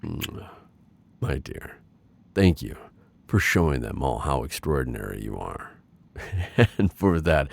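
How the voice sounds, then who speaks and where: A man playing a gloating, lovestruck dark warlord in an intimate roleplay audio, with theatrical, playful authority and lingering pauses. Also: low-pitched, gravelly, no room sound, full character voice.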